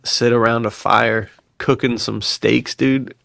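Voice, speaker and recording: A man's voice: mid-range voice, little pitch movement, slow pace, vocal fry, fairly bright tone, dry recording, clean studio sound. A man chatting casually as a podcast co-host, enthusiastic and full of bro slang. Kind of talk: casual chat.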